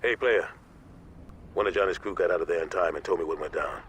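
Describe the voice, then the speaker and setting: Guy playing a gangster in a game talking fast and urgent, sirens in the background. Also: low-pitched, natural voice. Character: urgent male gang ally warning a friend. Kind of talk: video game cutscene acting. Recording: noisy, sirens behind.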